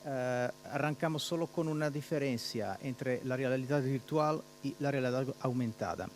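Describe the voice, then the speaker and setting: Halting Italian man presenting in Spanish. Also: medium pitch, slight background noise. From Italy. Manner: halting, searching for words, mixing in Portuguese words. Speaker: researcher presenting a project. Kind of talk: conference presentation.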